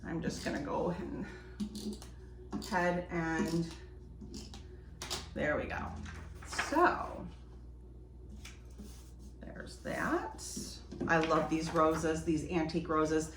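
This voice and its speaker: A woman's voice: deep voice, extremely slow, pauses often. Woman, enthusiastic DIY chat, sniffly, away from the mic, faint background noise. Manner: chatty, enthusiastic, addresses viewers as friends. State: sniffly from a cold. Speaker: DIY vlogger. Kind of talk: casual how-to chat while crafting. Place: home decorating space, indoors. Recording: roomy echo, distant from mic, faint noise.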